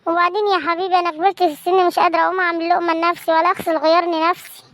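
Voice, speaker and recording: A woman's voice: extremely high pitch, flat delivery, rapid-fire, a bit bright, no echo. A young woman with an Egyptian accent voicing a lonely, frail old grandmother who pleads mournfully in an amateur skit. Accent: Egyptian Arabic. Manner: mournful, pleading, calls everyone "my dear".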